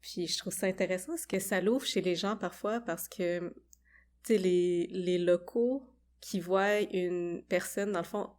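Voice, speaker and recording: A woman's voice: fairly low pitch, measured pace, a little mellow. A young woman with a Quebec French accent, hosting a podcast and musing conversationally. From Quebec, Canada. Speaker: podcast host. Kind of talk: casual conversation.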